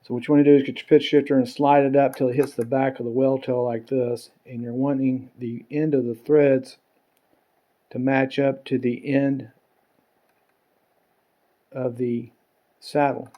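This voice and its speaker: Male instructor, mid-range mellow voice, casual and plain-spoken, for step-by-step hands-on tutorials. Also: mid-range voice, level tone, a little slow, long pauses. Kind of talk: hands-on how-to demonstration.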